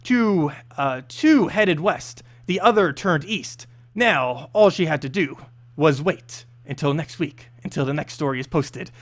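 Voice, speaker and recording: A man's voice: on the high side, lively intonation, a little slow. A man reading a scripted fantasy story aloud as narrator, expressively and with the odd stumble over words. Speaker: narrator and host of a lore reading series.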